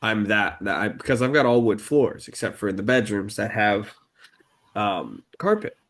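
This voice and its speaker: A man co-hosting a podcast, talking slowly and deliberately in a casual chat, with frequent fillers and restarts. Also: on the low side, animated, a bit bright. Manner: halting, many fillers and restarts, deliberately slowed speech. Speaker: podcast co-host. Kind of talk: casual conversational podcast talk.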